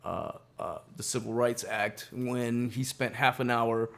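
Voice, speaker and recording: An American man with a medium-pitched voice co-hosts a loose radio talk show. He riffs on politics casually and crudely, swearing freely and with little reverence. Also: unhurried, gravelly. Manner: crude, irreverent, profane.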